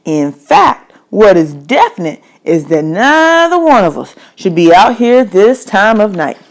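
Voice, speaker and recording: A woman's voice: medium pitch, big pitch swings, measured pace. A woman voicing a commanding but kindly man who calls out in a folksy, emphatic way in a children's storybook. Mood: loud, insistent. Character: adult male stranger, commanding but kindly, calling out at night. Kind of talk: children's audiobook read-aloud.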